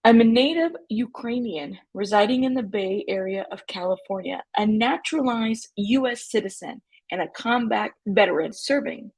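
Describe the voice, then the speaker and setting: Raspy low-key woman doing youtube commentary, lots of ums, slow. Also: no room sound. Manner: unhurried, many fillers and restarts. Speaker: commentary channel host. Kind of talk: casual commentary and reading aloud.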